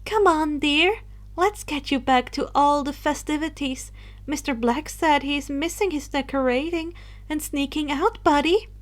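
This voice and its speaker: A woman narrator puts on a whimsical teenage witch girl for an audiobook story. The girl is affectionate and teasing, set higher than the reader's usual voice. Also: a little slow. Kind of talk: audiobook character voice.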